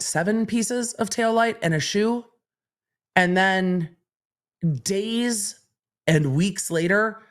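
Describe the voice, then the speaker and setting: Woman streamer, casual and candid, explains legal stuff in a relaxed way. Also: lowish voice. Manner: chatty and candid, answering questions in an even, explaining way. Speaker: legal commentary livestream host. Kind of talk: casual livestream chat reading viewer questions.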